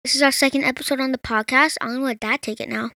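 A woman's voice: high voice, steady tone, racing, pushed and bright, no room sound, studio quality. A female co-host with a casual, chatty way of talking on a podcast. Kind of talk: casual chat.

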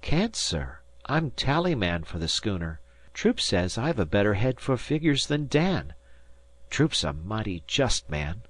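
A man's voice: on the low side, sing-song, a little slow, no echo. A man voicing an earnest, confident teenage schooner boy with plain, colloquial speech in a storybook reading.

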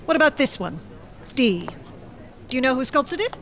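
An actress playing a practical American businesswoman with a dry, measured manner in a radio drama. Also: high voice, very animated, a bit bright, slight background noise, exaggerated voice. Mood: inquisitive.

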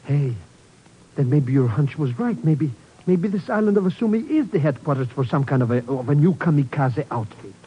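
An actor playing an excitable, cowardly schemer of a sidekick in a 1950s radio drama, stammering and wheedling. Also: male voice, on the high side, normal speed, husky. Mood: puzzled. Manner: stammering, wheedling, swooping exclamations. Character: excitable, cowardly comic foreign sidekick, a fast-talking schemer. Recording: noisy, dull old 1950s radio recording.

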